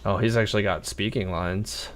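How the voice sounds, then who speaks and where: Male streamer: lowish voice, offhand and wry, musing aloud over gameplay. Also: steady tone, normal speed. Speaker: game livestreamer. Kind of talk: casual live gameplay commentary.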